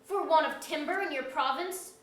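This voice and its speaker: A woman's voice: sits high, normal speed, lightly acted. A woman playing a haughty, taunting woodland fairy in a staged verse drama, with accusing archaic speech. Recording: echoey auditorium, recorded from far off.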